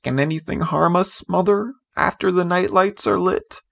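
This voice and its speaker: A man's voice: high voice, moderate pace, creaky, a bit bright, pitched above the performer's own voice, heavily acted. A man voicing a sleepy, affectionate small boy in a storybook, innocent and trusting. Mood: sleepy, uneasy.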